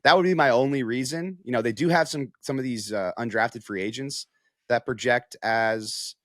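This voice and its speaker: A man's voice: medium pitch, normal speed, a bit bright, dry recording, clean studio sound. A man giving casual sports analysis, explaining his points step by step in a conversational way.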